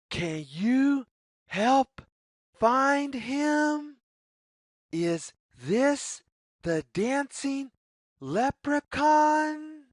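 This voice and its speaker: A woman reading a story aloud for young children, phrasing deliberately and putting questions to the listener. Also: high-pitched, dry recording, clean studio sound. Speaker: children's read-aloud narrator.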